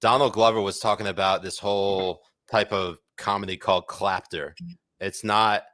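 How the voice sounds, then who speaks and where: A man's voice: lowish voice, fairly even intonation, moderate pace, fairly bright tone, no room sound. A man co-hosting a podcast, chatting casually and irreverently about the news with crude jokes. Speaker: podcast co-host. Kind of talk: casual comedic news chat.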